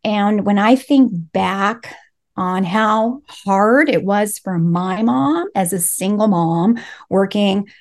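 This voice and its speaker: A woman's voice: mid-range voice, slow. A middle-aged woman from Los Angeles, a native Spanish speaker, telling her story in an earnest, reflective way as an interview guest. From Los Angeles, United States. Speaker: life coach, interview guest. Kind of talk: interview conversation.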